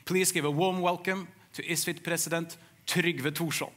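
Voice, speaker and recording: A man's voice: a little high, steady tone, normal speed, very noisy. A man making a formal, declamatory announcement as master of ceremonies at an opening ceremony.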